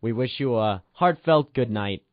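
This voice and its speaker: A man's voice: mid-range voice, animated, a little slow, no echo. Man with a dull, dry radio sound. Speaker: radio show writer, director and announcer. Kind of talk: scripted old-time radio narration. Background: music.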